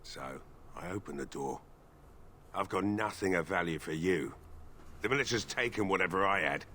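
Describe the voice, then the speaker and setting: An actor playing a despairing, stubborn old village blacksmith in a video game, gruff, weary and drunk. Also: male voice, low voice, expressive, moderate pace, sharp tone, full character voice. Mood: bitter, resigned. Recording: faint background noise.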